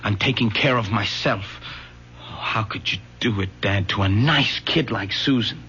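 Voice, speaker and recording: A man's voice: on the low side, moderate pace, sharp tone, slight background noise. An actor playing a troubled young man in a radio drama, earnest and resolute. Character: troubled young man, son turning against father. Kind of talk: 1970s radio drama acting. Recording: dull old radio broadcast.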